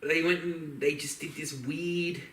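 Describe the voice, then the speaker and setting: A man's voice: fairly high pitch, steady tone, a little slow, a bit bright, slight background noise. Emphatic Australian man in an echoey room. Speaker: podcast co-host. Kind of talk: casual opinionated chat. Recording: echoey room, distant from mic.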